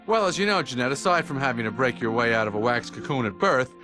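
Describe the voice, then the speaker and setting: A man as bright, wry young cartoon bee. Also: medium pitch, quick pace, soft background music, natural voice. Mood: modest, chatty. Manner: wry, glib, casual. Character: wry restless young male bee, fresh college graduate.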